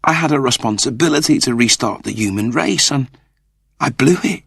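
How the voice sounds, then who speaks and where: A man voicing a soft-hearted, regretful everyman hero in a sci-fi audiobook, earnest and plain-spoken. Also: mid-range voice, expressive, normal speed, gravelly, breathy edge, no room sound, studio quality, pitched above the performer's own voice, exaggerated voice.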